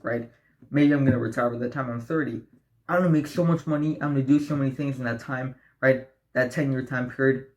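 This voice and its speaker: Young male vlogger, late teens, preachy and profane, keeps saying "right?", average pitch. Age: young adult. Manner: blunt, profane, preachy motivational talk; addresses viewers as guys, asks right? often. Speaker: self-improvement vlogger. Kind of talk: direct-to-camera advice vlog.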